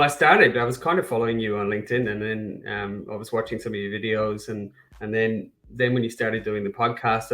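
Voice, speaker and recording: Man, irish kerry accent, middle-aged, low voice, monotone delivery, casual podcast guest, self-deprecating. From Ireland. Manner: self-deprecating humor, frequent restarts and fillers.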